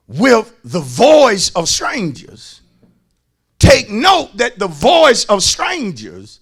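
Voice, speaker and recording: A man's voice: high-pitched, fairly bright tone, breathy edge. A man preaching as a pastor, emphatic, repeating phrases and calling on his listeners to respond. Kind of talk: sermon.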